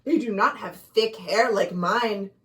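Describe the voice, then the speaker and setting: A woman voicing a huge but timid male ghoul for a children's storybook, earnest, halting and gentle. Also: medium pitch, voice pushed down, lightly acted. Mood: wary. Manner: trembling, slow and halting, earnest.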